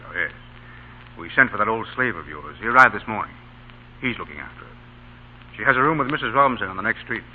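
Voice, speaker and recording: An actor playing a dutiful Union army officer in an old radio drama. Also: male voice, on the low side, expressive, fast talker, pauses often, lightly acted. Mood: sober, sympathetic. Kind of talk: 1930s-40s radio drama acting. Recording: tinny, faintly noisy old radio recording.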